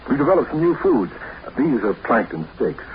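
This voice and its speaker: An actor playing an earnest, courteous Earth official who explains things formally in a 1950s radio drama. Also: male voice, medium pitch, very animated, moderate pace, close to an everyday voice. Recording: muffled, noisy 1950s radio recording.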